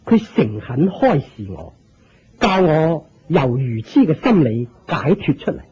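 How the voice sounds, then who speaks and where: An actor with a Hong Kong Cantonese accent playing an earnest, reverent elderly religious master turned disciple in a Buddhist radio drama, speaking in a solemn, deliberate, declamatory way. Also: male voice, fairly high pitch, big pitch swings, warm tone, husky, full character voice. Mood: fervent, reverent. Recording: dull lo-fi radio drama recording.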